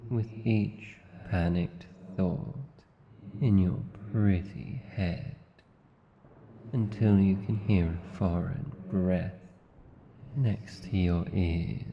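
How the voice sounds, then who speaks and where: A man's voice: dark tone, full character voice. A man with an Australian accent voicing a sleep paralysis demon, menacing yet awkwardly tender, in an intimate ASMR roleplay. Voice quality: deep, gravelly. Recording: slightly dull, long reverb.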